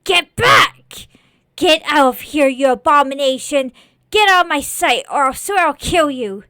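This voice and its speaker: A woman playing a terrified, grieving farm boy who shouts in panic and hostility in a horror story. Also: high-pitched, expressive, measured pace, no echo, studio quality, pitched above the performer's own voice, full character voice. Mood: horrified rage.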